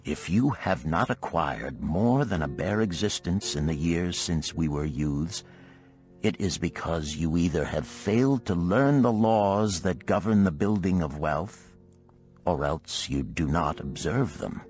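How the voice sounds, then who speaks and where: A man's voice: sits low, lively intonation, a little slow, a little mellow, faint music behind. A man voicing a wealthy, wise Babylonian man in a storybook, lecturing his friends in a grave, formal way. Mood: stern, instructive. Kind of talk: storybook character reading.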